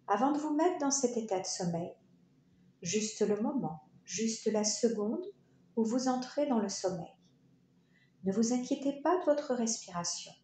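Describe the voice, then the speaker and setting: A woman leading a guided yoga relaxation, speaking in short, soothing, unhurried phrases with pauses. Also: a little high, dark tone. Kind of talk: guided meditation narration. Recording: roomy echo, faint background noise.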